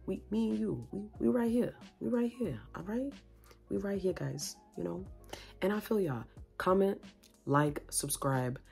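A young woman chats to her followers from a small room at home, recorded on a phone. Her voice sits very low with a husky edge, and she rambles playfully, clearly tired late at night. Faint music plays underneath. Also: darkish tone. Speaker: vlogger. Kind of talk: casual late-night vlog chat. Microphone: phone on tripod.

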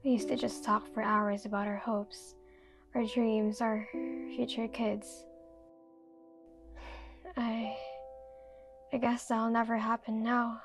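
An actress playing a grieving, regretful young woman in a hushed, halting inner monologue for an animated short. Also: on the high side. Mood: wistful, grieving. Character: grieving, regretful young woman mourning her lost beloved. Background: music.

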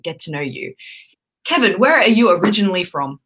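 A woman's voice: mid-range voice, very animated, fairly quick, fairly bright tone, husky, low-quality recording. A woman in her twenties with an Australian accent, chatting and laughing on a video call from a room at home, with lots of ums. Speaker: YouTuber co-hosting a live stream. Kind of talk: casual chat. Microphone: webcam on a video call.

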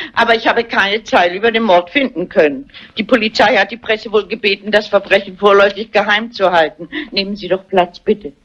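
An actress playing a strong-willed elderly spinster sleuth in a crime radio play, speaking in a brisk, insistent and formal way. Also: female voice, medium pitch, a bit bright, slightly rough. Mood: brisk, self-assured. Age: older. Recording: muffled old soundtrack.

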